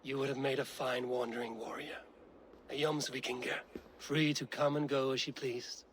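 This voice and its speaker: A male actor playing a bold, steady Norse warrior in a video game, speaking in a measured, plainspoken way. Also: mid-range voice, a little hiss or hum, natural voice. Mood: admiring. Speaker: game character. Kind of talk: dramatic game cutscene acting.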